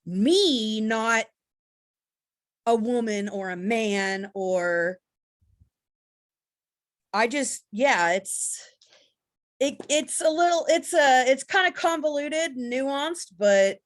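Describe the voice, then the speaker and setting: A woman's voice: fairly high pitch, expressive, very slow, pauses often. A woman taking part in a workshop video call, speaking hesitantly and searching for her words. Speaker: workshop participant. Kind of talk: casual sharing on a video call.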